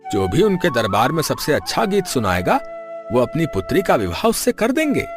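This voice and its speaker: Man acting an excitable, swooping village fellow. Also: fairly high pitch, moderate pace. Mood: excited. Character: excitable, friendly village man sharing news. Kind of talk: Hindi cartoon moral story dialogue. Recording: music behind the voice.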